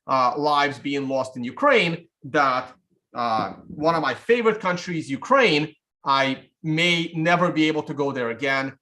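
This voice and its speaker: A man hosting a livestream, giving unscripted political commentary in a halting, rambling way, often checking in with a quick tag question. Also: a little high, bright, forceful tone. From Soviet Union, now Russia; immigrated to America. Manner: halting, rambling, often punctuated with "okay?" and "right?". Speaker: host of a livestream channel.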